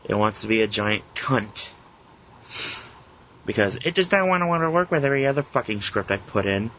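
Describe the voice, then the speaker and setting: A man's voice: mid-range voice, expressive, brisk, a bit bright. A man co-hosting a podcast, chatting casually with friends in a grumbling, profane way. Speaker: podcast co-host. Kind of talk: casual group chat. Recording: muffled lo-fi audio, background noise.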